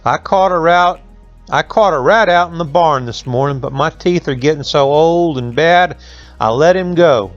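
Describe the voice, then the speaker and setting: A man's voice: fairly high pitch, moderate pace, no room sound, dull audio, soft background music, lightly acted. A man voicing a weary old farm animal complaining in a folk tale. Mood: forlorn, complaining. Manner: folksy complaining.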